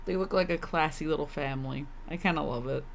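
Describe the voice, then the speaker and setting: A woman's voice: sits low, fast talker, gravelly, a little hiss or hum. A woman streaming a video game, giving casual, slangy commentary in short reactive remarks.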